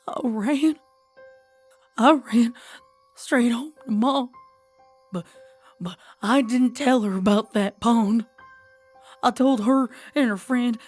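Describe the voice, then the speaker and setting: A woman voicing a frightened, haunted young farm pony mare, stammering and halting in a dramatized horror story. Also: on the high side, darkish tone. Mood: tearful, guilty.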